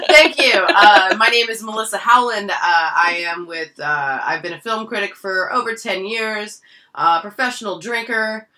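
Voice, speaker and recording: A woman hosting a podcast in casual chat, loud and laughing, swearing freely and using many fillers. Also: medium pitch, moderate pace. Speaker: podcast host.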